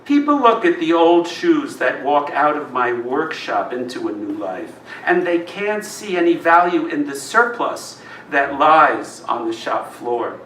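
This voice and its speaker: A man giving an expressive live poetry reading, phrasing each line deliberately and dramatically. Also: fairly high pitch. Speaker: poet reading own work. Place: live reading in a roomy indoor venue. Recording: roomy live recording, distant mic, noisy.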